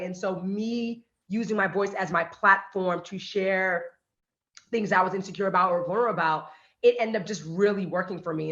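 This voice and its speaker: A woman on a panel who works in radio and podcasting, telling a lively story and acting out quotes. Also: medium pitch, reverberant, lo-fi sound. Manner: animated storytelling, acts out quotes. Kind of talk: panel talk, personal story.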